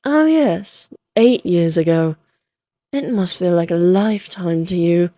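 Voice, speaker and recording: A woman's voice: lowish voice, measured pace, mellow, no room sound, clean studio sound, close to an everyday voice. A woman playing a playful, flirtatious immortal vampire in a Victorian fantasy audio roleplay, teasing in genteel, archaic phrasing.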